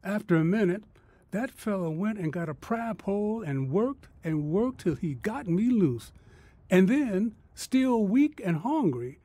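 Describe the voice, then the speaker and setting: A man's voice: high voice, sing-song, measured pace, warm tone, heavily acted. A man playing a humbled, earnest farmer telling his story in folksy rural phrasing in a radio drama. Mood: moved, earnest.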